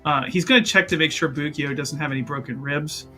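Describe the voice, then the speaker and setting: A man casually narrating his character's actions as a tabletop roleplaying player, in an animated, halting, jokey way. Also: fairly high pitch, soft background music.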